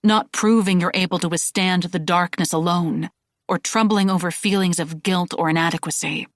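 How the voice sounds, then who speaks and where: A woman's voice: on the low side, steady tone, normal speed, no echo, studio quality, lightly acted. A woman voicing an earnest, determined young male Jedi. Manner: earnest, pleading.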